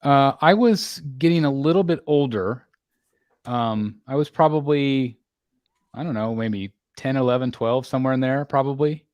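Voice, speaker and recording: A man in his forties hosting a casual panel chat, relaxed and full of ums and restarts. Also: mid-range voice, moderate pace, no echo, highs cut off. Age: 40s. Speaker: host.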